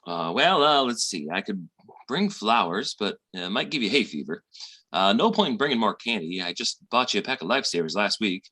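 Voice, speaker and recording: A man's voice: fairly low pitch, sing-song, a little fast, pushed and bright, exaggerated voice. A man playing a brash, bluffing, cheapskate boyfriend in 1940s radio sitcom style, slangy and full of stalling. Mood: cocky. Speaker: amateur theatre performer.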